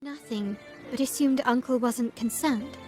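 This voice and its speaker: An actress playing a poised young heiress of a crime family in a video game, speaking formally and precisely. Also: female voice, high-pitched, measured pace. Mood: pensive, analytical. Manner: composed, formal, articulate. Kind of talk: scripted video game dialogue. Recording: music behind.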